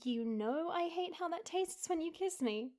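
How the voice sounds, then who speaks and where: A woman playing a worried, loving partner in an audio roleplay, with a breathy voice and a gentle, soothing way of talking. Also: extremely high pitch, lively intonation, normal speed. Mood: sleepy, caring. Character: worried, loving female partner of a haunted assassin.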